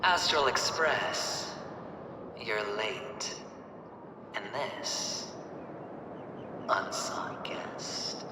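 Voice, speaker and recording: An actor voicing a flamboyant, menacing young gambler in a video game, taunting like a showman with theatrical, drawn-out delivery. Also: medium pitch, animated. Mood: mocking showmanship. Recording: echoey hall, distant, noisy game backdrop.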